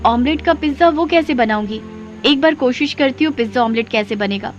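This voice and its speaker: A woman's voice: high-pitched, a little fast, lo-fi sound, soft background music, lightly acted. A woman playing a humble, earnest working mother in a cartoon story. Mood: practical. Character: humble, hardworking mother running a food cart. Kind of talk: Hindi moral-story cartoon voice acting.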